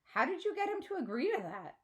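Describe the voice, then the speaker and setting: A woman's voice: high-pitched, sing-song, quick pace, a bit bright, pitched above the performer's own voice. A woman voicing an exasperated, incredulous thirteen-year-old girl in a children's storybook, whiny and emphatic. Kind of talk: children's storybook read-aloud.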